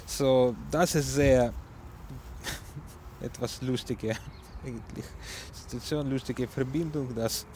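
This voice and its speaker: A man with a Ukrainian accent hosts a community radio music show, speaking very slowly and pausing often to search for German words. His voice sits at an ordinary male pitch. Clear background noise runs under the recording. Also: steady tone, a little mellow. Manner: halting, searching for German words, many fillers. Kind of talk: unscripted radio music presentation.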